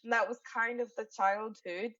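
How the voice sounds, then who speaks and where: A woman's voice: on the high side, fairly even intonation, fairly bright tone, recorded from a distance, lo-fi sound. A woman in her forties from Sri Lanka, a podcast guest speaking casually with many fillers and restarts. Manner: halting, many fillers and restarts, laughs often. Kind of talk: casual interview talk.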